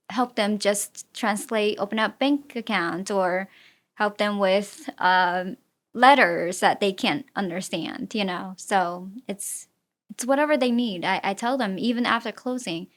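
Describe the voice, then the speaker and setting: A woman who is a native Chinese speaker, answering interview questions as a real estate agent in an earnest, halting way. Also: medium pitch, fairly bright tone. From immigrated with a Chinese-speaking family. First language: Chinese (Mandarin and Fujianese).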